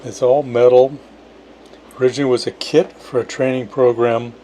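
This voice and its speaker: A man's voice: mid-range voice, flat delivery, moderate pace, dark tone, faint music behind. A man giving a casual, enthusiastic product demonstration in short plain phrases, as an amateur radio operator. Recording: noisy, radio static and hum.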